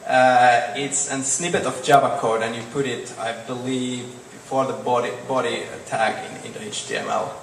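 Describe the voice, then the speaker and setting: A young man from Finland with a Finnish accent, answering audience questions on stage in a halting way with many repeated words. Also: medium pitch, fairly even intonation, vocal fry. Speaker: startup presenter. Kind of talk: Q&A after a product demo. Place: large dark event hall on stage. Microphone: podium mic. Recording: echoey hall, distant mic, faint noise.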